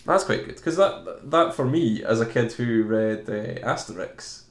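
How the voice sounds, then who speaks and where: A man's voice: lowish voice, very animated, unhurried, vocal fry, echoey room. A man co-hosting a casual film commentary chat, joking and laughing often. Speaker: podcast co-host.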